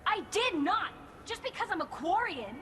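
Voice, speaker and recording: Game casting: young alien outcast woman, lively swooping delivery, indignant and defensive, background ambience. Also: very high-pitched, moderate pace, slight background noise, exaggerated voice. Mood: outraged. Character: young female alien vagrant, defensive and aggrieved.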